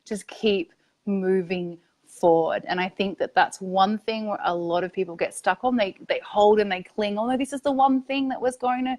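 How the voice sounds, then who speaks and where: A woman's voice: medium pitch, moderate pace, darkish tone. A woman guest on a casual online interview, speaking as a spiritual coach, blunt and upbeat. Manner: emphatic, blunt, upbeat, laughs easily.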